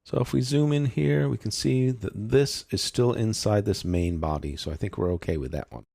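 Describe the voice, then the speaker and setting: A man with a very low, creaky voice walks through a software tutorial. He explains each step methodically in informal, unscripted language. The sound is close and dry. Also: normal speed, no room sound.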